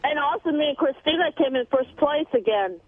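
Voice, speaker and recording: A woman's voice: high-pitched, lively intonation, brisk, no echo. A woman calling in by telephone, talking plainly and insistently in short answers over a dull line. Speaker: phone-in caller. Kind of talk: casual phone conversation.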